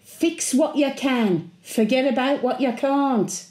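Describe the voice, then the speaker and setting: Actress doing ancient brummie widow, chatty and sentimental, soft mellow voice, bit of room echo. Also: female voice, high-pitched. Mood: firm, fond. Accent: Birmingham. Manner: homely, confiding, unhurried. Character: 101-year-old widowed Brummie great-grandmother, chatty, sentimental, plain-spoken. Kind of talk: dramatic monologue, intimate storytelling.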